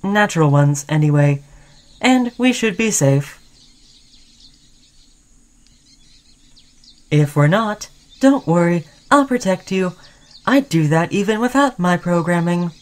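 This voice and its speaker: A woman's voice: fairly low pitch, animated, measured pace. Woman playing a caring, devoted robot companion. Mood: eager, protective. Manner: chatty, soothing, addressing listener as human friend. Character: friendly, caring, obsessively devoted sentient robot crewmate. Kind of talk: scripted ASMR roleplay addressed to the listener.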